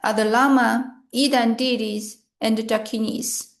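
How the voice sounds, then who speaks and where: A woman reading a scripted guided meditation in short, measured phrases with pauses between them. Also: on the high side, fairly even intonation, vocal fry.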